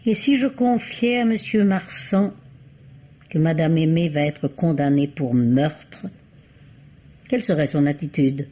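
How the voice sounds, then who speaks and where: A man's voice: a little high, measured pace, dark tone. An actor playing a shrewd, courteous male sleuth in a wry, urbane old French radio drama manner. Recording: dull old radio recording.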